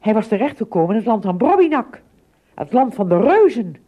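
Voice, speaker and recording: Husky, lively woman storyteller. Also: a little high, a little fast, dull audio. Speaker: narrator. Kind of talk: scripted storytelling narration.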